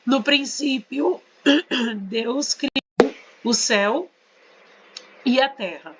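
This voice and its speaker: A woman's voice: high voice, very slow, dull audio, noisy background. A Brazilian woman teaching English in a casual walkthrough tutorial, chatty and often checking in with her students. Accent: Brazilian Portuguese. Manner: chatty, checks in with tag questions. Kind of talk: casual screen-share tutorial.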